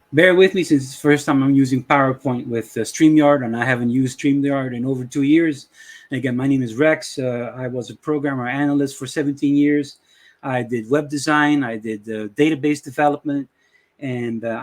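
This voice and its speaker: A man's voice: mid-range voice, moderate pace. A middle-aged man from the Dutch West Indies with a Dutch accent, hosting a casual tutorial and rambling with many fillers. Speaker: channel host. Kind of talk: casual screen-share tutorial intro.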